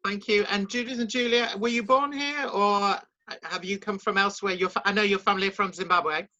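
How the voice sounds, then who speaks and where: A man with a high, very bright, sharp voice interviews people. He asks polite, encouraging questions and thanks each speaker in turn. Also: steady tone, brisk, dull audio.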